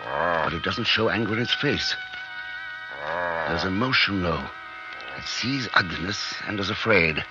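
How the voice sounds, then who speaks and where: An actor playing an obsessive, frightened scientist, urgent and dramatic, in a vintage radio horror play. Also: male voice, low voice, very animated, a little slow, long pauses, over music. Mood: uneasy fascination. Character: obsessive, frightened male scientist protective of his creation. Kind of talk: 1940s-50s radio horror acting. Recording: muffled, noisy old radio recording.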